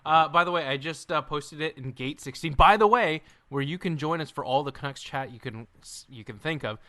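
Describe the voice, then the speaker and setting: A man's voice: on the high side, brisk, pushed and bright. A man co-hosting a casual podcast chat over a call, bantering and boasting in a jokey way. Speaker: podcast co-host. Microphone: remote call mic.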